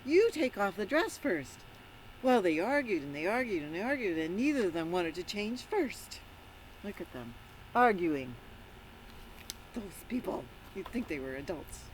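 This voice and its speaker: A woman reading a picture book aloud to children in an animated storytelling style, pausing to put questions to the listeners. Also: lowish voice, a little hiss or hum, poor audio. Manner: interactive, prompts listeners with questions. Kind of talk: animated read-aloud with asides to young listeners.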